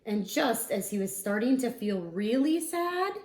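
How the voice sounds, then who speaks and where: A woman reading a storybook aloud to young children in an expressive, deliberate manner. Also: a little high. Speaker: school instructional coach reading aloud. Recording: roomy, echoey sound.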